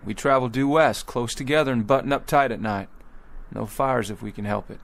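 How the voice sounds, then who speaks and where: An American actor playing a determined, plain-spoken 1840s wagon train leader in a radio drama. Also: male voice, medium pitch, a little fast, vocal fry, close to an everyday voice. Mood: firm, defiant. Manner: plain-spoken, folksy, insistent.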